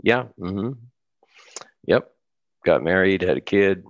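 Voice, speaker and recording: Low gravelly American man, rambling. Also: expressive, slow pace, dry recording, lo-fi sound. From United States. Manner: rambling, laughs often, many restarts. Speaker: guest musician. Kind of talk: casual interview chat.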